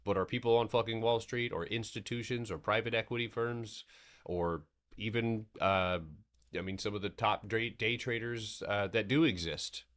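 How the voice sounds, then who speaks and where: Very deep-voiced man streaming trades. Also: moderate pace. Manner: loose, rambling, swears casually; steady explanatory tone on charts. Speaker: stock trading streamer. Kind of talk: casual livestream market recap.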